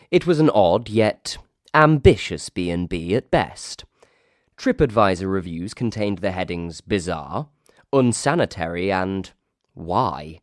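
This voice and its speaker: A man's voice: lowish voice, darkish tone, no room sound. A man reading a ghost story aloud as scripted narration, with a wry, dry comic delivery.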